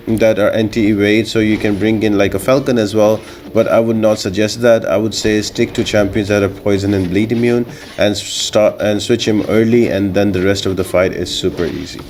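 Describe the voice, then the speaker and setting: A man's voice: fairly low pitch, normal speed, darkish tone, soft background music. A man giving a casual, step-by-step video game guide as the host of a gaming channel. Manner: instructional, step-by-step explaining.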